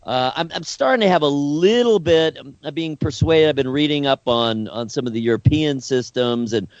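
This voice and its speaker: A man co-hosting a talk podcast, speaking earnestly and haltingly, often repeating words as he works out his point. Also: fairly high pitch, no room sound, lo-fi sound. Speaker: podcast co-host. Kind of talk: casual discussion.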